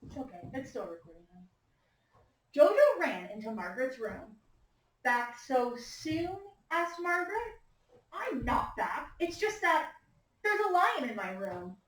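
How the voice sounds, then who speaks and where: Female primary teacher for read-aloud, patient and instructive, slightly high pitch, recorded at a distance in an echoey room. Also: big pitch swings, a little slow. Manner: patient, prompting with questions and gentle instructions. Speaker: teacher reading aloud to young children. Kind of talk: interactive picture-book read-aloud to a class. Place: echoey indoor room with young children.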